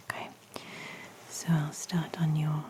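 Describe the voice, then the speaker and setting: A woman speaking in a gentle, hushed, whispery voice, playing an examiner in an ASMR medical roleplay. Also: low voice, monotone, takes it slowly, background noise. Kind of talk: ASMR medical exam roleplay, soft spoken.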